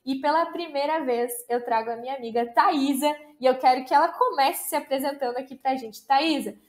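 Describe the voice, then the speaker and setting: A woman hosting a casual podcast chat, lively and chatty. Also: high-pitched, fairly quick. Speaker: podcast host.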